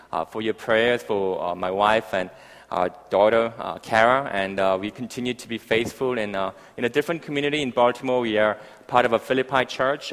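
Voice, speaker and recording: A man's voice: on the low side, fairly bright tone. A middle-aged man with a foreign accent, a visiting pastor giving an informal, conversational sermon to a congregation. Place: large church hall, speaking to a congregation. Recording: echoey hall with long reverb.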